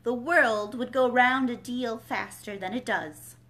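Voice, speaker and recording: A woman reading a storybook aloud, voicing a rude, short-tempered Duchess with a gruff growl. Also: a little high, measured pace, a bit bright. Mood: angry. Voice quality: hoarse growl.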